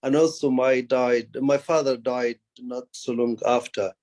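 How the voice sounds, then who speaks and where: A man's voice: medium pitch, steady tone, darkish tone. Male panelist, hesitant and searching. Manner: halting, searching for words. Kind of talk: panel discussion.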